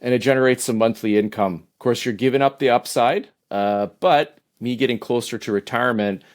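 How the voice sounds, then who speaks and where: A Canadian man in his fifties, a podcast guest answering in a relaxed, hesitant way with many "uh"s. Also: mid-range voice, brisk. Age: 50s. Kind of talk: casual interview answers.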